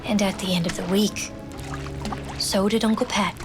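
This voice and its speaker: A woman's voice: mid-range voice, steady tone, normal speed, music in the background, close to an everyday voice. A woman playing a grieving Newfoundland wartime nurse. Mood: hushed storytelling. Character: grieving wartime nurse, sister of a fallen soldier. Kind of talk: dramatic game cutscene storytelling.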